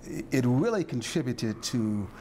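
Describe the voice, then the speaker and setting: A man interviewing a guest, speaking in a reflective, halting way with frequent fillers and restarts. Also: mid-range voice, big pitch swings, dark tone, a little hiss or hum.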